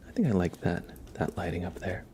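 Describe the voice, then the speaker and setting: A man hosting an ASMR livestream, chatting casually and playfully in a soft voice that often slips into whispers. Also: deep voice, lively intonation, fairly quick, slight background noise. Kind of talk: ASMR, casual chat to viewers and a pet cat.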